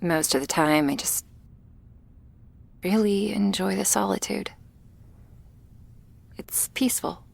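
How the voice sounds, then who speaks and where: A woman playing a shy, awkward young female vampire in an intimate ASMR roleplay, speaking softly and hesitantly, often close to a whisper. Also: mid-range voice, creaky, full character voice. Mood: shy, wistful. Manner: hesitant, halting, soft, often near a whisper.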